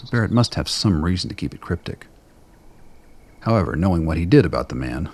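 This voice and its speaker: Very deep, gravelly male narrator. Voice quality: gravelly, husky. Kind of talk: scripted dramatic story narration.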